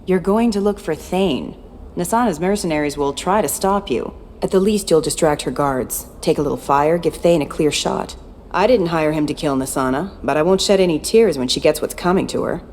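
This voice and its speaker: A woman's voice: sits low, normal speed, darkish tone, a little hiss or hum, close to an everyday voice. A woman playing a tough, cynical former security chief in video game dialogue, blunt and guarded. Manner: blunt, matter-of-fact.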